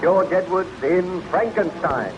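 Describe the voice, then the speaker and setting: A solemn storyteller of an old 1930s radio serial, formal and measured. His voice is a bit high, muffled by the dated recording, with music clearly behind it. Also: animated. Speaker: announcer and narrator. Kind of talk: 1930s radio scripted narration.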